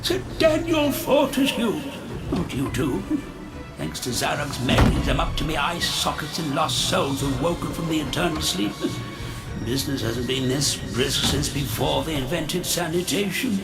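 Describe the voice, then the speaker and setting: A fairly high, very animated voice for a skeletal-world ferryman of the dead in a fantasy game. He is wry and harried, theatrical in both solemn and chatty phrasing, with loud music playing behind him. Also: moderate pace. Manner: theatrical, switching from portentous to chatty. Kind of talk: video game character acting.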